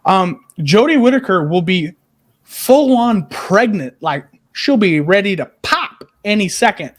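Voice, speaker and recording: High-pitched man chatting on a podcast. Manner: joking, irreverent. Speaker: podcast co-host. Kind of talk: casual fan news chat.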